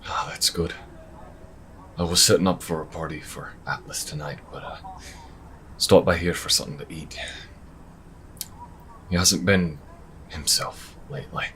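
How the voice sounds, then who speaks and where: A man's voice: low-pitched, fairly even intonation, measured pace, long pauses, fairly bright tone, slight background noise, rough recording. A man playing an earnest young priest in a fantasy audio drama, speaking with hesitant sincerity. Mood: polite.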